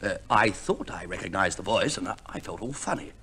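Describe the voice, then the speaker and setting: An actor playing an exasperated, put-upon husband in an old radio comedy play, blustering and hesitant with a British accent. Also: male voice, deep voice, lively intonation, brisk, sharp tone, husky, exaggerated voice. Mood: awkward, deadpan. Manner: blustering, hesitant, drily understated. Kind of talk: 1940s-50s radio play acting.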